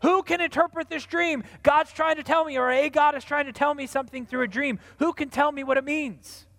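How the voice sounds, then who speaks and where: A man's voice: extremely high pitch, steady tone, brisk, vocal fry, fairly bright tone, big-room sound, voice pushed up, heavily acted. A man voicing a troubled ancient king demanding answers from his advisors.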